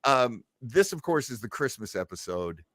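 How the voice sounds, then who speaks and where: A man's voice: mid-range voice, normal speed, no echo. A man chatting casually as co-host of a show, rambling and jokey as he introduces things. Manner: animated, rambling, jokey, acts out reactions. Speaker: podcast co-host introducing old radio shows. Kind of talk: casual chat.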